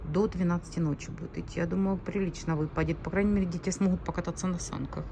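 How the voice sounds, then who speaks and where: A woman's voice: fairly low pitch, fairly even intonation, quick pace, vocal fry. Chatty woman vlogging on her phone. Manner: chatty, talks to viewers and her pet. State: scratchy throat, coming down with a cold. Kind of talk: casual vlog chat to camera. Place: at home indoors, then at an outdoor bus stop. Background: wind and passing traffic noise.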